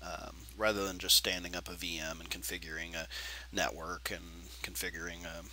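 Nasal, low-voiced man with a stuffy nose. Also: moderate pace, slight background noise, low-quality recording. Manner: relaxed, rambling with many fillers. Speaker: tech tutorial host. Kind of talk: casual screencast tutorial.

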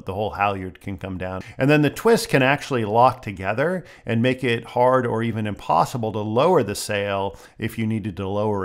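A middle-aged man hosting a sailing vlog, narrating a calm, technical voice-over that explains boat gear step by step. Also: on the low side.

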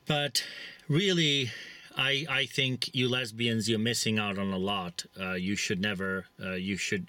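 A man's voice: lowish voice, pushed and bright, no room sound. A middle-aged man with a Czech accent, talking to camera from inside a parked vehicle in a blunt, rambling, preachy way with many fillers. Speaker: vlogger. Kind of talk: casual monologue to camera. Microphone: camera mounted close in front.

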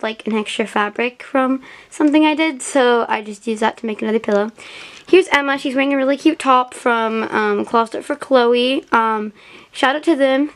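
This is a young female vlogger with an American accent and a slightly high voice. She talks in a chatty, informal way, sprinkling in "like" as she guides viewers through a casual tour at home. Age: young, child or teenager. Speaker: doll vlogger giving a house tour. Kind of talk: casual home vlog narration. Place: indoor room at home, behind the camera.

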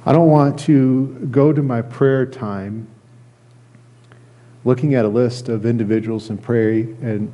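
A middle-aged American man giving a sermon as a pastor, speaking earnestly and haltingly, often repeating phrases. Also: mid-range voice, steady tone, warm tone, muffled recording. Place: church sanctuary, indoors at a lectern.

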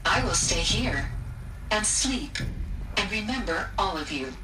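A woman's voice: mid-range voice, a little slow, lightly acted. An actress playing a patient, motherly robot caretaker in a video game, soothing yet formal and unemotional. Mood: gently resigned. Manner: soothing, formal, even-tempered. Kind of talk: scripted video game dialogue. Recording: echoey, distant, music behind.

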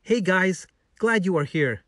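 A man's voice: high voice, animated, measured pace, a bit bright, no room sound, studio quality, pitched above the performer's own voice. A man voicing an eager, kind-hearted young male pet dog in a children's story, earnest and exclamatory.

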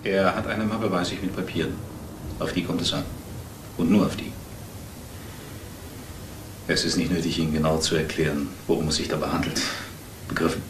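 An actor playing a cold, businesslike man who orders a killing in a crime radio play, measured, formal and matter-of-fact. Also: sits low, steady tone, slight background noise, lightly acted, poor audio. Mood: coldly insistent.

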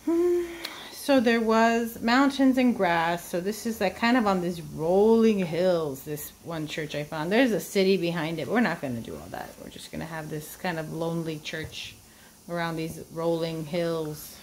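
A woman's voice: lowish voice, lively intonation, unhurried, darkish tone, slight background noise. A woman giving an informal step-by-step drawing lesson for children, thinking aloud as she works. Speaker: art teacher. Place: indoors at a table.

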